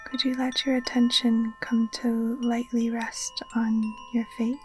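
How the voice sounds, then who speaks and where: Soft, breathy woman guiding meditation, very even. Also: fairly high pitch, a little hiss or hum. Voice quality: soft, breathy, often near whisper. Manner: soothing, gentle, unhurried instruction. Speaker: yoga nidra teacher. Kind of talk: guided relaxation meditation. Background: occasional chimes.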